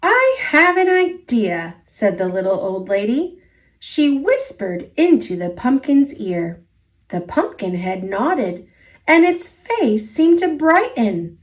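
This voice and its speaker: A woman with an American accent reading a children's story aloud in an expressive, deliberate storytelling manner. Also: medium pitch. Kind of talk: read-aloud children's story narration, partly sing-song.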